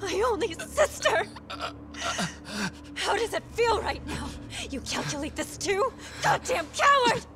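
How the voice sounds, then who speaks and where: An actress playing a bitter, betrayed wife bent on revenge in a game drama, cold and intense. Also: female voice, extremely high pitch, sing-song, measured pace, over music, exaggerated voice. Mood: seething, anguished. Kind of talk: dramatic video game dub acting.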